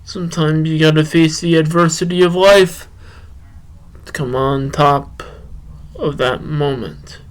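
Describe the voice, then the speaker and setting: A man talking and reading poetry solo as a podcast host, halting, with drawn-out phrases and long pauses. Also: a little high, fairly even intonation, clean tone. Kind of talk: rambling solo talk and poem reading.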